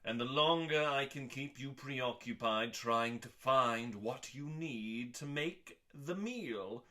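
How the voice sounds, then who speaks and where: Slow, pompous man reading with theatrical flair. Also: medium pitch, bright, forceful tone. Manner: pompous, mock-serious, with long pauses and drawn-out emphasis. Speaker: host reading aloud from a cookbook. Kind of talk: dramatic, theatrical scripted reading.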